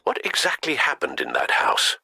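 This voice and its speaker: A man playing an older butler in a video game, courteous, careful and protective, with a husky voice. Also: on the high side, huge pitch swings, normal speed, dry recording, heavily acted. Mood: attentive. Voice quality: husky, bright edge. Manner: polite, careful, concerned.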